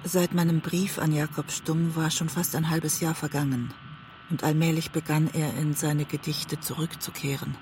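An actress playing a West German woman writer who narrates an obsessive love story in a measured, introspective way, as in a literary audio drama. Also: sits low, fairly even intonation, noisy background, lightly acted. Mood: obsessive, reflective.

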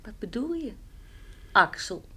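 Defiant countrywoman, radio drama, curt, dry, very lively intonation. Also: mid-range voice, takes it slowly, slightly rough, exaggerated voice. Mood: coolly firm. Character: proud, stubborn countrywoman landowner, curt and defiant. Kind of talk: radio play acting.

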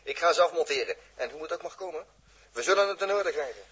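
A man acting a down-to-earth spaceship repairman in a 1960s radio drama, chatty and wry, with a husky voice. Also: fairly high pitch, expressive, fast, a bit bright, rough recording. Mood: wry, practical. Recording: husky old radio recording.

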